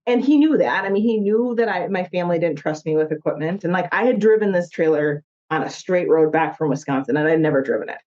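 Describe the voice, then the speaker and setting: A woman's voice: on the low side, expressive, fast, hardly any pauses, mellow, highs cut off. A woman in her thirties with a Minnesota accent, a chatty guest telling her story in a casual interview. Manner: chatty, laughs often, many "like" fillers. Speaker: guest, construction company owner.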